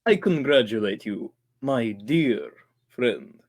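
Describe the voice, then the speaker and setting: A suave, scheming doctor from an old-time radio thriller, performed by a man. The delivery is very slow and drawn out, swinging widely in pitch, with theatrical courtesy covering sly calculation. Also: very animated, exaggerated voice. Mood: silkily pleased. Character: suave, scheming male doctor who hires killers.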